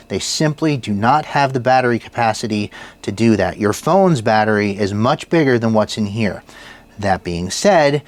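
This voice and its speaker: A man giving a casual gear review in a plain-spoken, folksy way, as the host talking to viewers. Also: medium pitch. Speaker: product reviewer and channel host.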